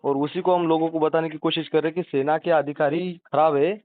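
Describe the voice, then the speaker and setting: A man's voice: fairly high pitch, fairly even intonation, brisk. A man doing a phone interview, prompting the other person with questions in a sympathetic manner. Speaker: interviewer, activist. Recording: roomy, tinny phone-line audio.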